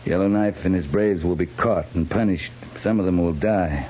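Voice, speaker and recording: An actor playing a steady, dry cavalry captain in a 1950s radio western drama. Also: male voice, sits low, moderate pace, natural voice. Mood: grave persuasion. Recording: muffled, hissy 1950s radio recording.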